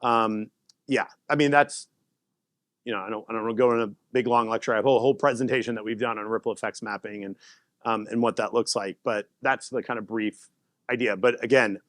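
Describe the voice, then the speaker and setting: A man giving a casual conference talk and answering audience questions, animated and laughing often. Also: fairly low pitch, brisk, no echo, studio quality. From United States. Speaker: presenter.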